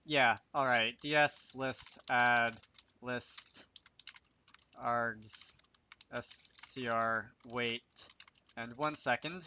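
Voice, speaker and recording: A man's voice: mid-range voice, steady tone, drawn-out words, pushed and bright, slight background noise. A man giving a casual coding tutorial, talking to himself as he works, with wry little asides. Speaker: video creator demonstrating game programming.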